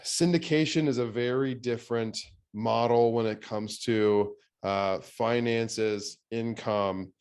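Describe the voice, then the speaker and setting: A man hosting a real estate podcast interview, talking in a relaxed way with many fillers and restarts. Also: mid-range voice, slow, smooth. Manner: thinks aloud with fillers and restarts. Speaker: podcast host. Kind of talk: conversational interview.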